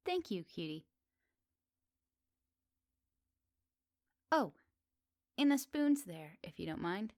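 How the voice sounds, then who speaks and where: Woman playing a slow, teasing flirty witch. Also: mid-range voice, dry recording, clean studio sound. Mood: playful, inviting. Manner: teasing, coy, expressive with soft pauses. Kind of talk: ASMR roleplay, addressed intimately to the listener.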